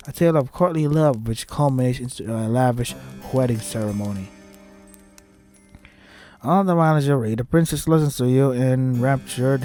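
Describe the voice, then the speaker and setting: A man's voice: mid-range voice, mellow. A man doing gaming commentary as he reads a visual novel aloud, breaking in with sarcastic, foul-mouthed asides. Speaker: let's play host reading a visual novel aloud.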